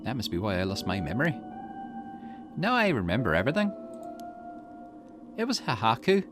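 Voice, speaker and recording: A fierce young woman from a visual novel game, acted out by a man, tough and grieving. The delivery is emphatic and indignant, with pitch that swings widely over background music. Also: huge pitch swings, normal speed. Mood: bitter realization.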